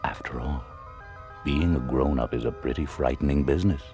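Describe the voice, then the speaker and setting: An actor playing the ominous, knowing host of a radio anthology of uncanny tales, narrating in a deliberate, portentous way. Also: male voice, extremely low pitch, dark tone. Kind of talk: slow, ominous scripted radio drama narration. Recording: music behind the voice.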